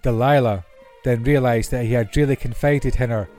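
A man's voice: medium pitch, fairly even intonation, moderate pace, mellow. A man reading Bible stories aloud as scripted narration. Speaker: Bible reader. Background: classical orchestral music.

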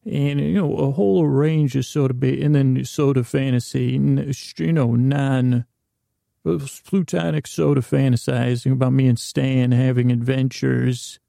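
A man hosting a bedtime podcast with a rambling, halting, goofy improvised monologue that trails off mid-sentence. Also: mid-range voice, fairly even intonation, creaky, warm tone, dry recording, clean studio sound. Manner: goofy, whimsical. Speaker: podcast host.

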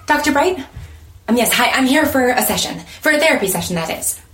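A woman's voice: high voice, expressive, fairly quick, a bit bright, a little hiss or hum. An actress playing a skittish, awkward woman in her twenties who over-explains and corrects herself nervously in an audio drama. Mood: nervous, flustered. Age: 20s. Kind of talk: naturalistic audio drama acting.

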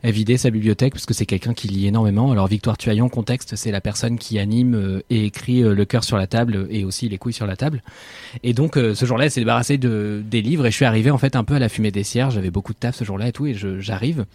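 A man's voice: on the low side, fast talker, a little mellow, no room sound. A man hosting a podcast, telling an anecdote in a casual, wry way. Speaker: podcast host.

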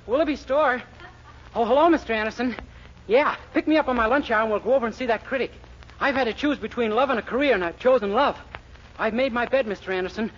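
A man's voice: extremely high pitch, fast talker, a bit bright. A man playing a naive, eager young store clerk with muddled logic in a 1940s radio comedy. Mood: eager, sheepish. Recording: dull, noisy old radio recording.

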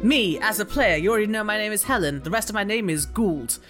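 A woman's voice: medium pitch, animated, quick pace, a bit bright, soft background music. A woman introducing herself casually as a player at a tabletop game. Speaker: player, voice actor and show host.

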